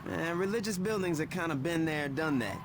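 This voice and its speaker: An actor playing a cocky, dryly wry street gang boss with a husky voice in a video game. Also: male voice, on the high side, animated, brisk, background noise. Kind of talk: video game dialogue acting.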